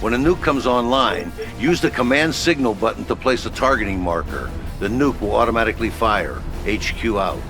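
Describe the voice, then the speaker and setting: A man's voice: fairly low pitch, animated, normal speed, few pauses, over music, natural voice. A man playing a wry, matter-of-fact headquarters officer giving colloquial military mission briefings in a video game. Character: brisk, wry male headquarters operations officer.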